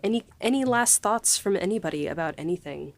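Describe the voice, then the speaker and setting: A woman hosting a casual behind-the-scenes chat, gushing in a halting, conversational way. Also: mid-range voice, animated. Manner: gushing, halting, peppered with 'like'. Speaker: podcast host and series writer.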